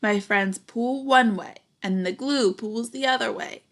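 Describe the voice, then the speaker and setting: A young adult woman reading a children's picture book aloud expressively, pausing to put questions and comments to young listeners. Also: on the high side. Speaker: storybook reader, teacher.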